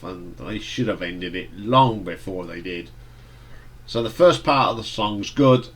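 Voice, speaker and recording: A man with a stuffy nose from hay fever, casually reviewing an album in a relaxed, rambling way with fillers and restarts. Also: lowish voice, slightly rough. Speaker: music reviewer.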